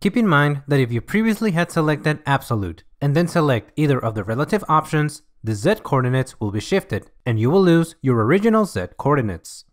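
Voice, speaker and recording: Man narrating a tutorial, lively intonation. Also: a little high, brisk, few pauses. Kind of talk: scripted software tutorial narration.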